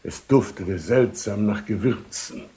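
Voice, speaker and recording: A man's voice: on the low side, a little slow, mellow. An actor playing a pompous, ceremonious knight commander and father in a radio play, long-winded and repetitive. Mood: grandiose, marvelling. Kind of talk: German-language radio play acting, 1970.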